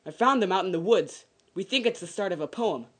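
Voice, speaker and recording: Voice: mid-range voice, animated, a little fast, fairly bright tone. A performer playing an earnest, pleading young boy, a reverend's son, in an old-time radio drama style. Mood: excited wonder.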